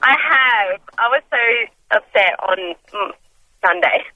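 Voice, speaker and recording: A woman's voice: on the high side, slow pace, sharp tone. An Australian woman calling a radio show, chatting casually and a little hesitantly over the phone. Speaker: phone-in caller. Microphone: telephone. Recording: phone line with background chatter.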